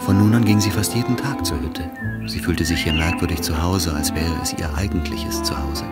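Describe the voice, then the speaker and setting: A grave literary narrator with a very low voice. He tells the story calmly and intimately, in measured phrases, over loud music in a radio play. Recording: studio radio drama under loud music.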